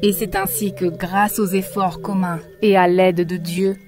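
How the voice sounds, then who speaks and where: An actress playing a wise spiritual teacher who narrates and preaches earnestly in an animated film. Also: female voice, fairly low pitch, measured pace, over music, close to an everyday voice. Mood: earnest, preachy. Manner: earnest, preachy, addresses listeners as darlings.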